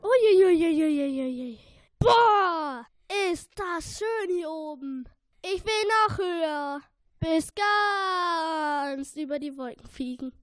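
A woman voicing a tiny male earworm, whiny and excitable, in a children's audio story. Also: very high-pitched, extremely slow, no room sound, studio quality, voice pushed up, exaggerated voice. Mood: delighted. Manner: exclaiming, plaintive, childlike.

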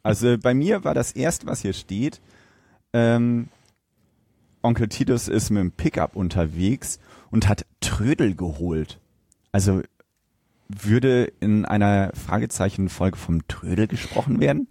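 A man's voice: lowish voice, unhurried, dark tone, dry recording, clean studio sound. A man co-hosting a podcast, chatting informally and sharing his opinions. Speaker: podcast co-host. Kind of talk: casual podcast discussion.

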